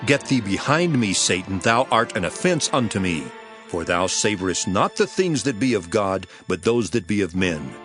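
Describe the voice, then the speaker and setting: An actor playing a solemn, authoritative teacher and master in a dramatized scripture, declaiming deliberately. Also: male voice, on the low side, big pitch swings. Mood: stern rebuke. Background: music.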